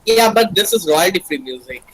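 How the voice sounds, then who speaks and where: Lively higher-pitched man in casual chat. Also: moderate pace, husky, no echo, poor audio. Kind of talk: casual group chat on a livestream.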